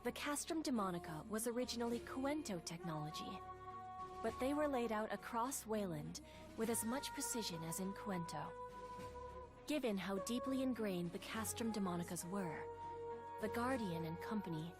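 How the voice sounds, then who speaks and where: A woman playing the composed narrator of a fantasy game, reading formally and in a measured way. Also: mid-range voice, expressive, close to an everyday voice. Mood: solemn. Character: composed female storyteller of a fantasy saga. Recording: loud game music behind voice.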